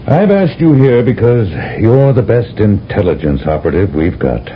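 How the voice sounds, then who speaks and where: An actor playing a solemn older general who briefs an agent in a measured, lecturing way, in a 1970s radio drama. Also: male voice, on the low side, lively intonation, close to an everyday voice. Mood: grave. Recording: dull lo-fi old radio broadcast with faint hiss.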